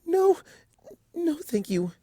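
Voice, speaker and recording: A man voicing a shy, gentle young female pegasus pony in a cartoon fan story, soft, breathy and hesitant. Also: extremely high pitch, very animated, slow, dry recording, clean studio sound, pitched above the performer's own voice, full character voice. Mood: flustered. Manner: hesitant, stammering.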